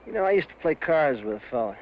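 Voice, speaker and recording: An actor playing a rough, needling cowboy drifter in a western, with a folksy, teasing way of talking. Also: male voice, a little high, big pitch swings, fast, a little hiss or hum. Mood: storytelling. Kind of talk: old western film dialogue. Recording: dull lo-fi film audio.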